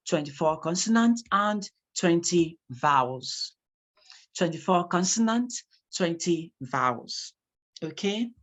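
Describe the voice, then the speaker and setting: A Nigerian instructor whose first language is Yoruba gives a classroom lecture in English with a Nigerian accent. The delivery is animated, and key points are repeated for emphasis. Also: mid-range voice.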